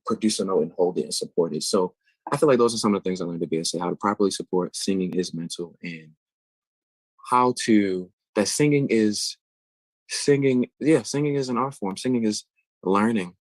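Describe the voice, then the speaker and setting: Low-voiced man explaining singing. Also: normal speed, dry recording, low-quality recording. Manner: thoughtful, explains vocal technique at length. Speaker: guest singer. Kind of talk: interview answers.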